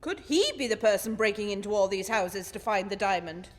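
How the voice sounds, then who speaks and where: A woman playing an earnest, inquisitive gentleman sidekick in a radio play, exclamatory and old-fashioned in manner. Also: mid-range voice, brisk, natural voice. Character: earnest, excitable gentleman doctor, detective's curious sidekick. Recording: echoing hall-like reverb.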